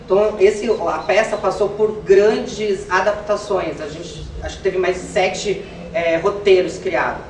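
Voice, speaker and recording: A man's voice: high-pitched, measured pace, reverberant, distant mic. Brazilian guy from a theatre group doing an interview, explaining, noisy background, bit off mic. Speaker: theatre company member being interviewed.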